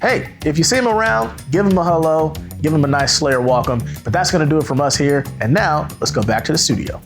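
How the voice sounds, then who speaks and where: A man's voice: on the high side, animated, brisk, gravelly, soft background music. A man from Oakland, California, hosting a company show in a playful, upbeat way. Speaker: host.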